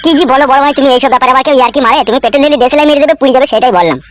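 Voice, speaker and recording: গ্রামবাংলার টানে একজন পুরুষ কার্টুনে এক মুখখারাপ, ফন্দিবাজ, খোঁচা-মারা চ্যালার গলা দিচ্ছে।. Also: male voice, very high voice, racing. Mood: teasing. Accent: rural West Bengali. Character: cheeky, scheming henchman, crude and foul-mouthed. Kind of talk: amateur comedy cartoon dub.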